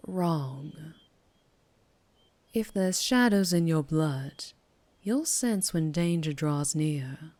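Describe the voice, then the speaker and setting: Casting: woman to play a sultry Southern US female vampire biker for ASMR roleplay; low register, unhurried, teasing and guarded. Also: a little mellow, dry recording. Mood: flirtatious, ominous. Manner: slow, low, teasing, lapsing into breathy whispers. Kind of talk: ASMR roleplay, scripted character acting.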